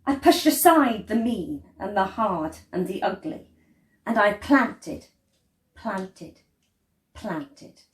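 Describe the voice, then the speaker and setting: Female storyteller, unhurried and expressive, measured dramatic delivery, mid-range pitch, roomy echo. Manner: measured, deliberate, with dramatic emphasis and pauses. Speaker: storyteller reading a picture book. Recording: roomy, echoey indoor sound.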